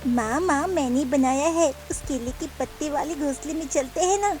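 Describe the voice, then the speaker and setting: A woman voicing a small male bird chick in a cartoon, with a high, childlike, eager way of talking. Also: normal speed, darkish tone, soft background music, natural voice. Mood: pleading.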